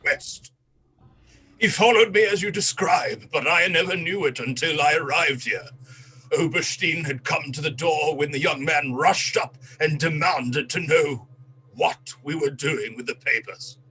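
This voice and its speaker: An actor playing a disgraced English colonel in a radio play, a cornered, self-pitying traitor pleading and confessing in agitation. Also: male voice, a little high, measured pace, sharp tone, rough recording. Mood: anguished confession.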